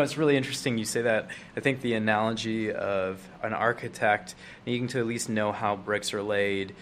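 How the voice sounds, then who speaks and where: A man's voice: on the low side, fairly bright tone, a little hiss or hum. A man hosting an interview, asking questions and offering thoughtful comments. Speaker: interview host. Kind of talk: podcast interview.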